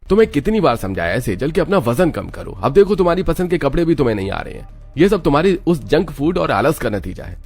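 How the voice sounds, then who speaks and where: A man's voice: fairly high pitch, very animated, quick pace, a little mellow, husky, pitched above the performer's own voice, exaggerated voice. A man voicing an exasperated young husband in a scripted cartoon story, talking in a weary, lecturing way. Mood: scolding. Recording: music under the voice.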